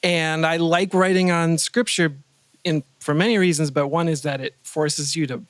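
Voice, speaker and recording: A man's voice: on the high side, fairly even intonation, normal speed, a bit bright, no room sound. A man speaking as an interview guest in a casual, modest, chatty way. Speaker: podcast guest, composer.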